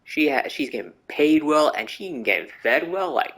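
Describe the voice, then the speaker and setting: A man's voice: a little high, expressive, moderate pace, fairly bright tone. A young man giving casual, joking reaction commentary as a game streamer, slangy and repeating phrases for emphasis. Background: game music.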